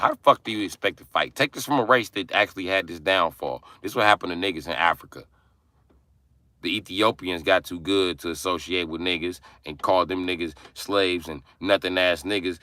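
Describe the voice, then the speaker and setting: A young adult man ranting angrily and forcefully on a livestream from inside his car. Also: deep voice, dry recording. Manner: angry, forceful, profane ranting. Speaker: livestream host. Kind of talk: angry profane livestream rant.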